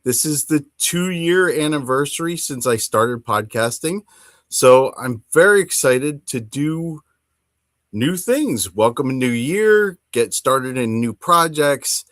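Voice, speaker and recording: A man's voice: fairly high pitch, a bit bright, no room sound, clean studio sound. Rambling, enthusiastic middle-aged male podcaster. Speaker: podcast host. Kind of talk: casual hobby podcast chat.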